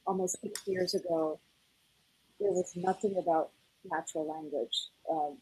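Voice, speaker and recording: Slightly husky woman, dry close sound. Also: lowish voice, darkish tone, dry recording, poor audio. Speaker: research manager on a panel. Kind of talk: conference panel storytelling.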